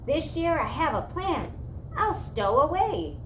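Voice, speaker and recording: A woman's voice: sits high, big pitch swings, takes it slowly, echoey room, a little hiss or hum, pitched above the performer's own voice, heavily acted, poor audio. A woman voicing a curious, determined and feisty young girl in a storybook reading. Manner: eager, indignant.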